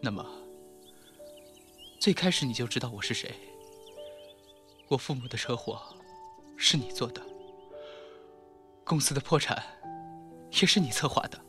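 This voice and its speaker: A man's voice: medium pitch, expressive, measured pace, pauses often, pushed and bright. Actor as wistful, restrained young man, music behind. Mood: pained questioning. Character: heartbroken, weary, gentle young man. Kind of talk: Mandarin audio drama acting.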